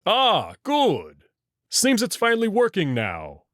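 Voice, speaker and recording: A man plays a massive alien wolf from a visual novel. He is expressive and easily exasperated, coaxing in halting phrases. His delivery is unhurried, with big swings of pitch. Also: dry recording, clean studio sound, full character voice. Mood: testing, relieved.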